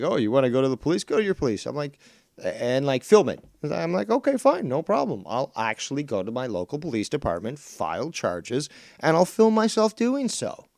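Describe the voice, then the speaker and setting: A man's voice: medium pitch, a little mellow. Combative profane man, first language Spanish. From Canada. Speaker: streamer host. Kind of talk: heated commentary on a clip.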